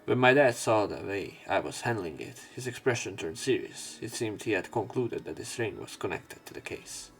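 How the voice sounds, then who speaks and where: A low-pitched man narrates a visual novel in a let's play. He reads in a halting way and breaks off for asides, with game music playing clearly behind him. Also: lively intonation, rough recording. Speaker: let's player reading a visual novel aloud. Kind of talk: narration and commentary over a game, reading aloud with asides.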